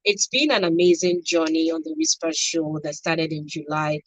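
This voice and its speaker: A woman's voice: deep voice, steady tone, moderate pace, no room sound, low-quality recording. A woman with a Nigerian accent hosting a radio show from a studio. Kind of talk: radio presenting.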